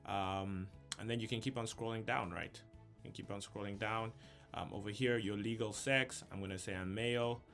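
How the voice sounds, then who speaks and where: A man's voice: on the low side, fairly even intonation, moderate pace, fairly bright tone, faint music behind. A young man from South Africa talking casually through an online application, thinking aloud with frequent ums. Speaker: YouTuber walking through an application. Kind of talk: casual screen-share walkthrough.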